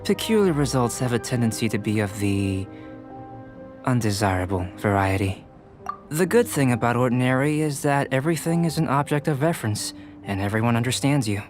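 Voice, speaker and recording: Calm, scholarly alchemist, acted by a man, mid-pitched. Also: faint music behind, close to an everyday voice. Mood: reflective. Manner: measured, precise, composed. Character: calm, scholarly young male alchemist, detached and self-assured. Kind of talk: video game character acting.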